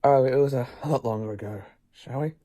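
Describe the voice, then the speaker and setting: A man playing a brisk, eccentric time-travelling professor in an audio drama, musing and hesitant yet commanding. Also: medium pitch, mellow, no room sound, lightly acted. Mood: curious, hesitant.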